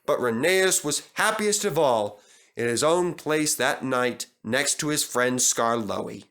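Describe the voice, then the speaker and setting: A man reading a children's story aloud as an expressive narrator. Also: mid-range voice, takes it slowly. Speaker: story reader.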